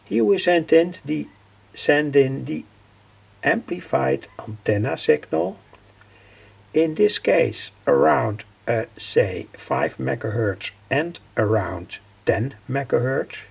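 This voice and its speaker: An older man giving an informal technical explanation as a hobbyist presenter, halting and repeating his phrases. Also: mid-range voice, animated. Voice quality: gravelly, husky.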